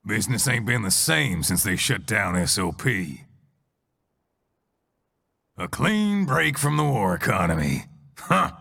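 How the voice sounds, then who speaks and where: An actor playing a smug, ruthless mercenary warmonger in a video game, with a gravelly, mocking, theatrically menacing delivery. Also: male voice, lowish voice, big pitch swings, unhurried, pauses often, long reverb tail, full character voice. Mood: smug menace. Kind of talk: dramatic video game cutscene acting.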